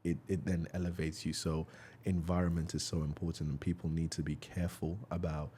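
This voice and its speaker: A man's voice: rumbling low, flat delivery, a little fast, dark tone, slight background noise. A man hosting a podcast, speaking in an encouraging, motivational way and often agreeing with short "yeah"s. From United Kingdom. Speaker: podcast host. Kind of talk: casual interview talk.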